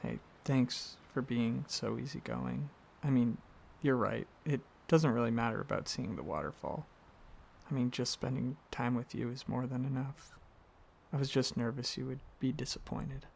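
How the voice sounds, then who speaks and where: A man performs a tender young dragon boyfriend for an ASMR roleplay. His voice is husky and breathy, with a gravelly edge at a medium pitch. He talks softly and earnestly, hesitating as he goes, as if to someone very close. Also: normal speed. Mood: relieved, warm. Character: gentle, affectionate young male dragon boyfriend.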